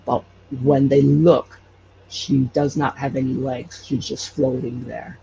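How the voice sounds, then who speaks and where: A man from Hawaii telling stories casually as an interview guest, haltingly, with repeated false starts. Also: mid-range voice, flat delivery, creaky, dull audio, noisy background. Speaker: podcast creator and guest.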